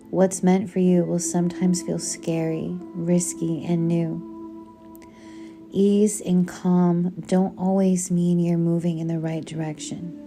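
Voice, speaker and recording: A woman reads aloud in a soothing audiobook style. Her voice is on the low side and very unhurried, with gentle, deliberate phrasing. Music plays clearly behind her. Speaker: book reader. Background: background music.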